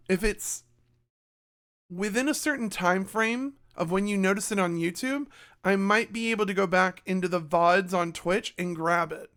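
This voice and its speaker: A man with a slightly raspy voice giving a chatty, self-mocking channel update to his viewers. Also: high-pitched, fairly bright tone. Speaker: YouTube creator. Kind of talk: casual channel update monologue.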